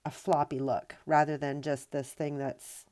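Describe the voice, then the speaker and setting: A woman with a low, smooth voice talks through a painting tutorial as a voice-over. She sounds nasal from a cold. She keeps it casual, with lots of ums and uhs. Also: little pitch movement, a little slow, a little mellow. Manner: chatty, with many ums and uhs. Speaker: rock painting tutorial host.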